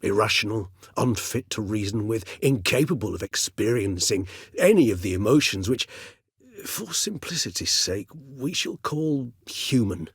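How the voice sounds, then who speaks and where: Game role: elderly vampire gentleman-scholar. Measured, bookish, gently weary; a touch husky, recorded close and dry. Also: on the low side, lively intonation, no echo, heavily acted. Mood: grave, reflective. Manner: measured, courtly, bookish phrasing with thoughtful hums. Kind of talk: video game character dialogue.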